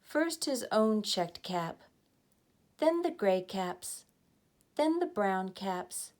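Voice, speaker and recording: A woman reading a children's story aloud in a deliberate, expressive way, drawing out words for young listeners. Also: mid-range voice. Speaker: storybook reader.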